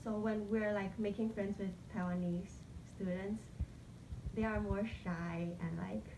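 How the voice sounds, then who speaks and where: A woman's voice: medium pitch, steady tone, unhurried. A young woman from Thailand in her twenties, chatting casually in an interview, with lots of fillers. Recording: echoey room, background noise.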